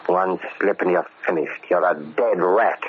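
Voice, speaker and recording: A very deep, formal manservant hides a vengeful ex-convict beneath measured courtesy. He is politely menacing in old radio horror style, on a muffled recording with faint noise. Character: vengeful ex-convict posing as a deferential, bookish manservant.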